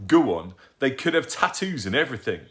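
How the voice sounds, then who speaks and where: A man's voice: fairly low pitch, big pitch swings, a little fast. A man voicing a cheerful, grinning old man in an audiobook. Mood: chuffed. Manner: chuffed, grinning.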